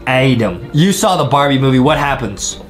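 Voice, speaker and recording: A man, doing excitable, exaggerated, slangy comedic reactions as a host. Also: medium pitch, normal speed. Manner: hyped, exaggerated, slangy, repetitive. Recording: loud music under the voice. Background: loud music.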